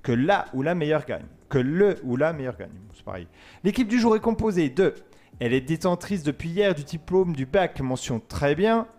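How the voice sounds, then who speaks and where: A man's voice: a little high, big pitch swings, moderate pace, a little mellow. A man hosting a casual student radio show, chatty and joking as he teases his guests. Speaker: host.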